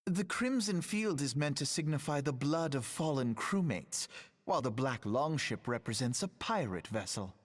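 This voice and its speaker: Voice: mid-range voice, expressive, moderate pace, lightly acted. An actor voicing an urbane, wry onlooker in a video game, speaking in a refined, sardonic, theatrical way. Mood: polite, wry. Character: urbane, wry onlooker commenting slyly on the proceedings. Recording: long hall reverb.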